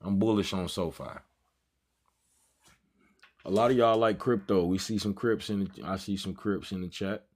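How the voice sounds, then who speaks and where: A man's voice: low voice, steady tone, normal speed, long pauses. A man in his thirties hosting a trading livestream, talking casually in loose slang and drifting off topic as he chats with viewers. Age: 30s. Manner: loose slangy banter, easily sidetracked. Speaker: trading stream host.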